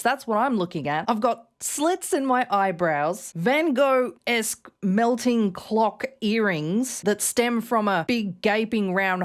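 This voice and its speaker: Brash sarcastic woman ranting. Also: mid-range voice. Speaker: commentary host. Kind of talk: reaction commentary on clips.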